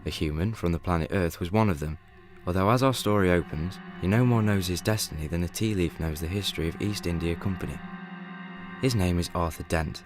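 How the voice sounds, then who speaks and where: A young man playing a wry, all-knowing guidebook narrator in a radio play, with measured, deadpan delivery. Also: low-pitched, lightly acted. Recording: music bed under the voice.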